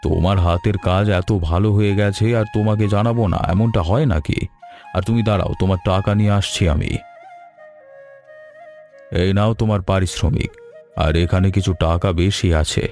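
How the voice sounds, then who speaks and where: A man playing a generous, approving gentleman and patron in a cartoon story. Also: sits low, steady tone, normal speed, faint music behind. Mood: pleased. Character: generous, approving gentleman who employs a weaver.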